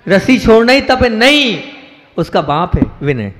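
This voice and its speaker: A male Jain monk delivering a sermon to a gathered audience, asking rhetorical questions and repeating phrases for emphasis, swinging between raised emphatic lines and quiet asides. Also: sits high, sing-song, measured pace. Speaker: Jain monk giving a discourse. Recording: echoey hall with long reverb.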